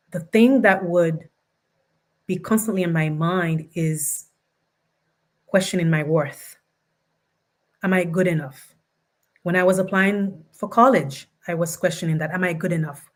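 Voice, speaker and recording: A woman with a non-native English accent, reflecting personally as a panelist. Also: deep voice. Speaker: panelist, attorney.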